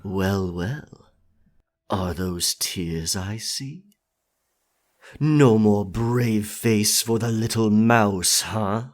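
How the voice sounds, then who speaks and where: Slow, deep, husky pirate captain. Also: male voice, slight background noise. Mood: taunting, sadistic. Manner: menacing, mocking drawl with pirate slang. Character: sadistic, taunting male pirate captain. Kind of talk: ASMR roleplay acting.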